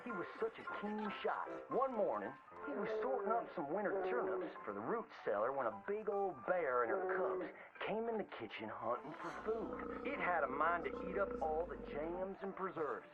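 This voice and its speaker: A man's voice: high voice, lo-fi sound. An actor playing a folksy, theatrical frontier showman with a Southern American drawl, narrating boastful tall tales in a video game. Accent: American Southern, old frontier drawl. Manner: hammy, folksy, exaggerated storyteller delivery. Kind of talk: theatrical old-time storytelling narration. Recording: band-limited old-radio sound with prominent music. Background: music.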